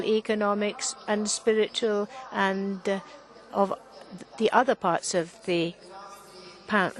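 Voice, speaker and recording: A woman interpreting a panel talk live, in halting phrases with frequent restarts and self-corrections. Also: mid-range voice, low-quality recording. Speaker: conference interpreter.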